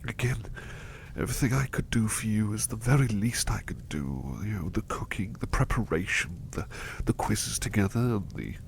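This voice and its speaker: A man playing a gentle, devoted butler in a soft ASMR roleplay, bashful and effusively polite, repeating his phrases in a halting, hushed way. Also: fairly low pitch, vocal fry, slight background noise, rough recording. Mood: earnest, apologetic. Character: gentle devoted male butler, bashful, eager to please, doting.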